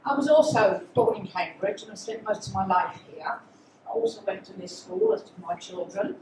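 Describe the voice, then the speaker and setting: A woman's voice: mid-range voice, expressive, normal speed, darkish tone, a little hiss or hum. An older woman from England, a local councillor and candidate, speaking plainly at a public meeting. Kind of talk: campaign speech. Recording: echoey hall from far off.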